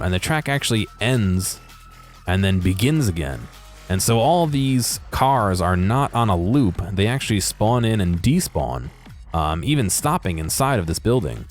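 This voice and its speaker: Low-voiced man musing over game footage. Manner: hesitant, musing, thinking aloud. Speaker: video creator narrating game footage. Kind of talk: casual unscripted gameplay commentary.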